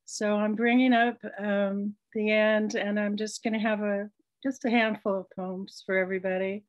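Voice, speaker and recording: An older woman poet thanking the hosts, then reading her own poems in a slow, measured way on a video call from home. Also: mid-range voice, level tone, no echo, lo-fi sound. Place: home room with curtains. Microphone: laptop webcam on video call.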